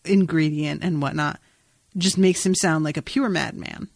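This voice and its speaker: A woman's voice: low voice, normal speed, vocal fry, no room sound. Wry woman recapping a show, dry close sound. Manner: wry, sweary, animated retelling with acted-out quotes. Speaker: podcast host. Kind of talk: casual recap chat about a TV episode.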